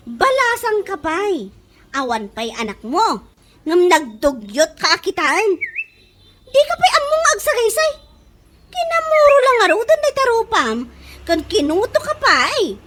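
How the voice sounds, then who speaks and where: A scolding Ilocano mother in a comedy radio drama. The voice is piercingly high and swings widely as she mocks. Clear noise sits in the recording. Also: a bit bright, heavily acted. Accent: Ilocano, from the Philippines. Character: scolding, mocking mother with a sharp tongue.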